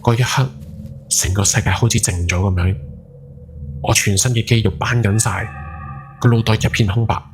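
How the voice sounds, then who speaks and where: A man acting as a haunted Hong Kong taxi driver in his forties, speaking Cantonese in a lowish voice over faint music. He confesses his guilt in a brooding first-person horror podcast story, leaning on the dramatic words. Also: steady tone, moderate pace, pauses often, close to an everyday voice. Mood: haunted, remorseful. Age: 40s. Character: haunted guilt-ridden forty-something night taxi driver. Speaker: ghost story podcast narrator. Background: background music.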